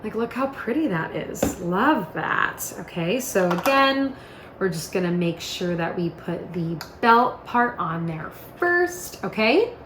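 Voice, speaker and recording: Chatty woman doing a casual product demo, upbeat, mid pitch, roomy echo. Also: sing-song, slow. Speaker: product presenter. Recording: echoey room with faint noise.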